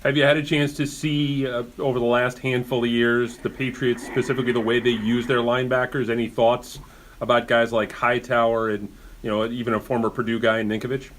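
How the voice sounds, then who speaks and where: A man puts questions as a reporter on a conference call. His delivery is very even in pitch, over faint background noise. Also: a little fast. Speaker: sports reporter. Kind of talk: press questioning.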